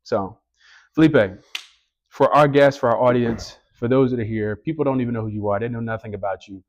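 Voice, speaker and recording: A man's voice: medium pitch, fairly quick, muffled recording. A man in his thirties hosting a casual interview podcast, chatty and enthusiastic. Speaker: podcast host.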